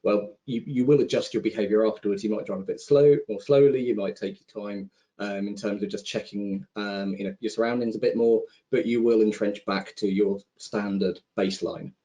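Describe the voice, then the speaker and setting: A man's voice: lowish voice, fairly even intonation, a little fast, few pauses, mellow. A man speaking as an investment panelist on a conference call, thinking aloud in a conversational, informal way. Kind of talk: conversational roundtable commentary.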